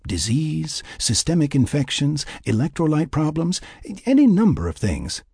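A man voicing a calm, careful woman medic who explains things clinically in an audiobook. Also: on the high side, moderate pace, slightly rough, no room sound, clean studio sound, pitched above the performer's own voice, heavily acted. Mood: cautious. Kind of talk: audiobook character dialogue.

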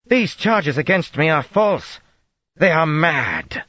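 A man's voice: on the low side, lively intonation, unhurried, a bit bright, dry recording, highs cut off, pitched above the performer's own voice. A man voicing a proud young queen who is a woman, with a haughty and insistent manner. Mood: defiant.

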